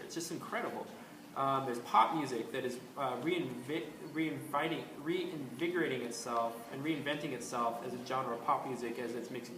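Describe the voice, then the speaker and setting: A man hosting an astrology video, talking in a casual, rambling way with frequent ums and restarts. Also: mid-range voice. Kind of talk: casual spoken commentary. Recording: echoey room with faint ambient noise.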